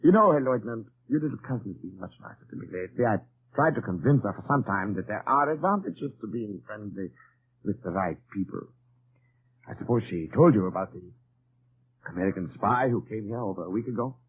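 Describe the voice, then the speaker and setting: An actor playing a suspicious, oily German wartime commandant in an old-time radio drama, falsely courteous and insinuating. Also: male voice, lowish voice, lively intonation, a little fast, husky. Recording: muffled, tinny old radio recording.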